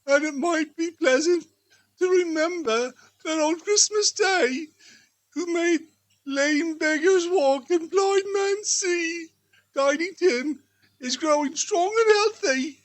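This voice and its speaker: A tender, poor family father from a storybook. He speaks in a very high, emphatic voice at a slow pace. The delivery is theatrical and sentimental. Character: tender, excitable poor father, Bob Cratchit.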